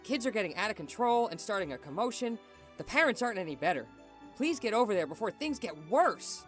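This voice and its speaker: A woman's voice: medium pitch, sing-song, brisk, faint music behind, lightly acted. A woman playing a snippy, put-upon mall Christmas elf in a radio drama, huffy and chatty. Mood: worried.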